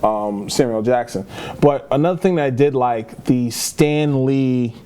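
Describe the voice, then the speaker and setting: A man's voice: mid-range voice, unhurried, dark tone, smooth. A man co-hosting a casual film review chat, opinionated and often repeating himself for emphasis. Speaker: podcast co-host reviewing films.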